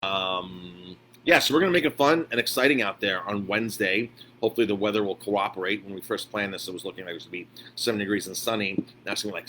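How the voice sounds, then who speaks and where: A man with a fairly low voice chats off the cuff to his followers like a small restaurant owner on a livestream. He talks in halting, repetitive phrases, with noticeable ambient noise around him. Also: pushed and bright. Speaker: chef and restaurant owner hosting a live update. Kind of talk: casual unscripted live video update. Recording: clearly noisy background ambience.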